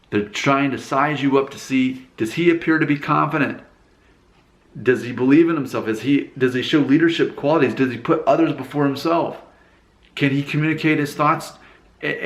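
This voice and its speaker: A man hosts his own video channel in a casual talking-to-camera monologue. His voice sits at an ordinary middle pitch, candid and emphatic, with preachy asides. The sound rings around an echoey room. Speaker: YouTube channel host.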